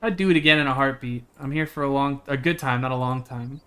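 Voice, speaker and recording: A man's voice: mid-range voice, fast talker, a bit bright. A man livestreaming a game, chatting casually in a jokey, slangy way and reading its text aloud. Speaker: game streamer.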